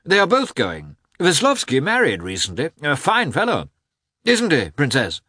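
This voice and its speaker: An effusive society gentleman from a classic novel, acted out by a male narrator, with a raised, swooping voice. He gushes and exclaims, cheerful and breezy, in a bright tone. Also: a little high, huge pitch swings, normal speed, husky, no echo, studio quality, pitched above the performer's own voice, heavily acted. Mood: breezy, chatty. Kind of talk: audiobook character voice in a classic novel.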